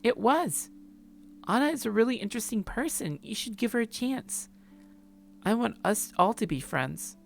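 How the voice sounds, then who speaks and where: A man's voice: sits high, animated, moderate pace, gravelly, soft background music, voice pushed up. A man voicing a cheerful, friendly young woman who talks in an eager, sweetly pleading way in a visual novel.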